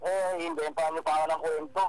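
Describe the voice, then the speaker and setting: A man's voice: a little high, monotone, fast talker, a little mellow. A man with a Filipino accent arguing defensively on a phone call, repeating himself and tagging on questions. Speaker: rapper receiving a prank call. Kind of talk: argumentative phone conversation. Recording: phone call with crowd noise behind.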